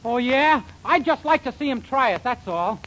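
A man's voice: extremely high pitch, animated, moderate pace, fairly bright tone, rough recording. An actor playing a naive, bashful young man with an American accent, earnest and guileless, in 1940s radio sitcom style. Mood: naive, eager. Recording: hissy 1940s radio recording.

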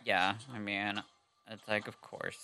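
A woman co-hosting a fan podcast, chatting casually and giggling, her voice a little husky. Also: extremely low pitch, little pitch movement, measured pace, bright, forceful tone. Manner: giggly, chatty, lots of "like" and "I mean". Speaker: podcast co-host.

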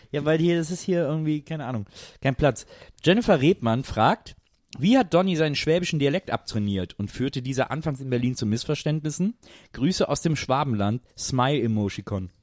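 A man's voice: a little high, expressive, normal speed, darkish tone, dry recording. Laid-back man reading listener questions. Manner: laid-back, reads questions aloud, chuckles. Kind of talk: casual banter podcast.

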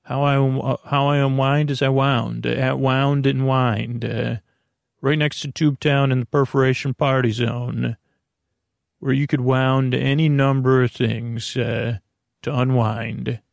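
Gravelly guy doing a rambly bedtime podcast, keeps losing his thread, really dry close sound. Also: mid-range voice, flat delivery, no echo. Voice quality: gravelly, creaky voice. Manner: halting, repeats words, loses the thread and circles back. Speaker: podcast host.

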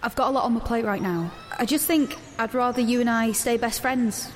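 A woman's voice: a little high, brisk, natural voice. An actress playing a guarded, conflicted teenage girl in an audio drama, earnest and defensive. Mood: awkward. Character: guarded, conflicted teenage girl protagonist narrating her story. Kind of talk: audio drama dialogue and first-person narration. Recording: background noise, outdoor ambience.

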